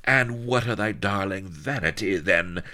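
A man doing a husky pirate seductress. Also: on the low side, animated, takes it slowly, a bit bright, pitched above the performer's own voice, full character voice. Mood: raging. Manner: husky, theatrical, archaic speech. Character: sultry, scheming female pirate temptress.

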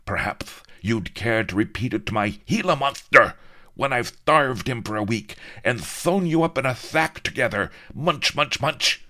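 A man's voice: mid-range voice, expressive, a little slow, sharp tone, pitched above the performer's own voice. A man voicing a cruel, blustering male viceroy who screams, cackles and gloats in a theatrical storybook reading. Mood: menacing.

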